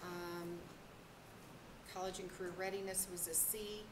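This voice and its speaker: A woman's voice: fairly low pitch, flat delivery, a little slow. A woman on the district staff presenting to a school board, hesitant and stopping often for ums. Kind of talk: presenting at a public board meeting. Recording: echoey, noisy meeting room.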